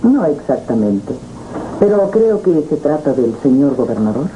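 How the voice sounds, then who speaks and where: An actress playing a composed, formal, coolly polite middle-aged manageress in a 1970s telenovela. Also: female voice, very deep voice, very animated, dark tone, heavily acted. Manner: formal, measured. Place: indoor studio set. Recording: muffled, noisy old TV tape.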